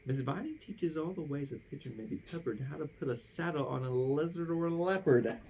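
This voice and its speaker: A woman reading a children's rhyming picture book aloud with theatrical, drawn-out emphasis at the ends of phrases. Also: low-pitched, warm tone, a little hiss or hum. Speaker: storybook reader.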